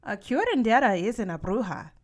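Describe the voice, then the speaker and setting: A woman's voice: fairly high pitch, sing-song, moderate pace, a little mellow. A woman voicing a practical mother who explains things patiently in a story reading. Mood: explaining.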